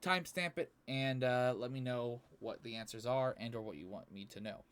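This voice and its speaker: A man's voice: mid-range voice, normal speed, fairly bright tone, clean tone. A man hosting a reaction video, commenting casually.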